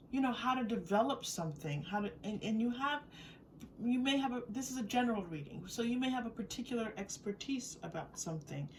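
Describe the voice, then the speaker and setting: A woman giving a casual, improvised tarot reading, speaking directly to the listener in an encouraging, conversational way. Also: mid-range voice. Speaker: tarot reader hosting a reading. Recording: distant mic with faint noise.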